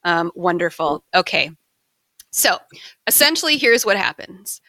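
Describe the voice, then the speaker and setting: A woman hosts a casual podcast in a bright, playful voice. The sound is dry and close, and she teases her guests as she sets up a game. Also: fairly low pitch, sing-song, measured pace, no room sound. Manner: playful, teasing, leading a game. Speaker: podcast host.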